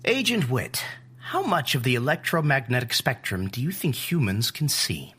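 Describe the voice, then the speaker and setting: An actor playing an earnest, cerebral scientist in an audio drama, explaining deliberately and searching for simple words. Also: male voice, mid-range voice, big pitch swings, a bit bright, breathy edge, heavily acted. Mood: didactic. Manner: deliberate, groping for simple analogies. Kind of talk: naturalistic audio drama acting.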